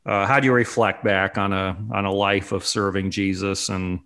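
A man's voice: low voice, flat delivery, normal speed, fairly bright tone. A man in his late fifties hosting an interview, asking long, rambling questions with many restarts. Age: 50s. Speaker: interview host. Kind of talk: conversational interview.

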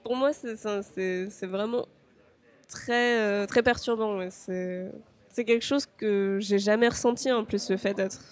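Young woman from france on a podcast, lots of ums and restarts, middle pitch. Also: steady tone, unhurried. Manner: hesitant, many fillers and restarts. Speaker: interview guest. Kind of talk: podcast interview.

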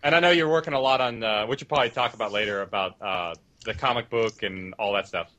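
A man's voice: on the low side, fast, bright, forceful tone. A man chatting as a guest producer on a casual panel, hesitant and full of fillers. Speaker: podcast guest, audio drama producer.

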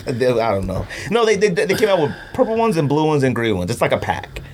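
A man chatting casually in slangy, informal speech, quick to push back. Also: a little high, big pitch swings, darkish tone, a little hiss or hum. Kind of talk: casual sneaker talk among friends.

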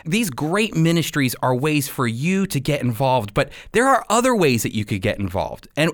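A man hosting a radio ministry program, speaking directly and encouragingly to listeners as he gives announcements. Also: mid-range voice.

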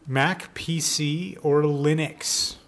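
A man's voice: fairly high pitch, fairly even intonation, very slow, a bit bright. Irreverent male podcast co-host. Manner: joking, irreverent, swears freely. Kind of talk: casual jokey interview chat.

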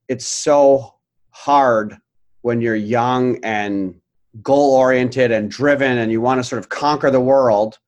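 A middle-aged man as a podcast guest, talking candidly and reflectively, with some blunt language. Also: medium pitch. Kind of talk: casual interview chat.

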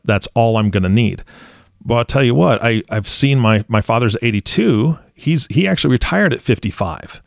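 A man in his forties with a low, gravelly voice, hosting a relaxed podcast conversation. He talks in an easygoing, chatty way and laughs readily. The sound is close and dry. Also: dry recording. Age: 40s. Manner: relaxed and chatty, laughs easily, posing hypothetical scenarios. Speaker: podcast host. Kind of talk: casual interview chat.

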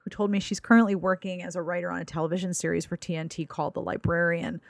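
A woman hosting a podcast in a casual conversation, with a playful, wry and expressive delivery. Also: on the low side, quick pace, gravelly, no echo. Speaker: podcast host and interviewer.

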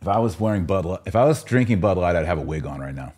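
A man's voice: deep voice, expressive, fast, a little mellow, husky. An American man hosting a podcast in casual, informal chat, reading out and answering listener comments. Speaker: podcast host.

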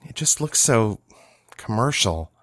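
Wary young man, as the narrator plays him, hesitant and doubtful, deep voice, dry close mic. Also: sing-song, unhurried, a bit bright, dry recording, full character voice.

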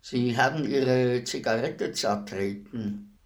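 Actor as a polite, matter-of-fact executioner. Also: male voice, mid-range voice, slow pace, natural voice. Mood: humble, subdued. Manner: deferential, plain, terse. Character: polite, servile state executioner, matter-of-fact, quietly humble. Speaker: radio drama actor. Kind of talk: German radio play acting.

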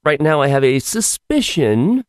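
A hoarse man hosts his podcast in a rambling, wry way, his pitch swinging up and down lively. The sound is close and dry, with no room around it. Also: unhurried, dry recording. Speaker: podcast host. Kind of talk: casual solo podcast chat.

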